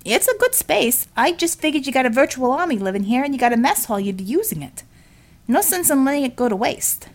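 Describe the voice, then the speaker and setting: A woman's voice: high voice, very animated, brisk, voice pushed up. A woman voicing a heavy-set, plain-spoken American union contractor in an audiobook, with an American accent and folksy, colloquial manner. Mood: easygoing. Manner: folksy, colloquial, blunt shop talk.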